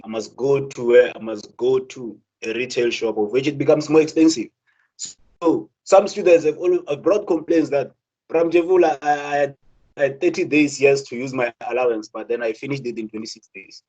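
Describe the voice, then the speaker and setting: Earnest South African man, husky voice. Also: mid-range voice, rough recording. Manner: earnest, many repeated words and restarts. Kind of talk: impassioned spoken talk.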